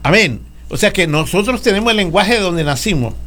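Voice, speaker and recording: High-voiced male preacher, short phrases. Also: expressive, a little fast, a bit bright. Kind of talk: sermon with live interpreter.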